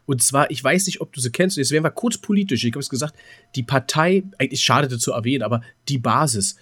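A man's voice: medium pitch, quick pace, a bit bright, breathy edge, dry recording. Man, close dry sound. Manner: rambling, re-enacts remembered dialogue. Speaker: podcast co-host. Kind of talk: casual chat, telling an anecdote.

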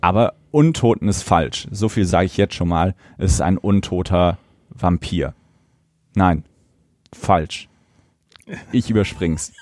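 A man's voice: sits low, slow, pauses often, a little mellow, dry recording. A man co-hosting a podcast, in casual review talk and reading aloud. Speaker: podcast co-host.

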